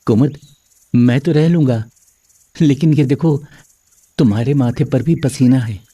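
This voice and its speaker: Earnest young husband played by a man, protective, mellow mid-range voice, close dry sound. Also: mid-range voice, measured pace, dry recording, natural voice. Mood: concerned. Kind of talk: moral-story cartoon dialogue.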